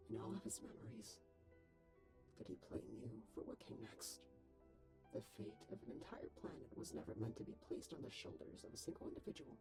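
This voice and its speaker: Robot caretaker for animated comic dub, actor-performed: clinical, lecturing, creaky, very animated delivery. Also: medium pitch, poor audio. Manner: formal, precise, lecturing. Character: stern clinical robot caretaker, wordy, exasperated, secretly soft-hearted.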